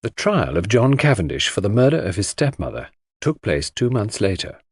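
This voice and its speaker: A man's voice: lowish voice, very animated, normal speed, breathy edge, no echo, studio quality. A man reading scripted first-person mystery narration as an audiobook narrator.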